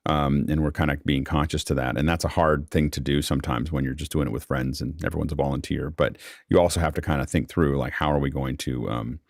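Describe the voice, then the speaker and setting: A man's voice: a bass voice, steady tone, quick pace, creaky, dry recording, studio quality. A man hosting a casual unscripted panel discussion, rambling and thinking aloud as he repeats words. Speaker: host. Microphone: close microphone on an online video call.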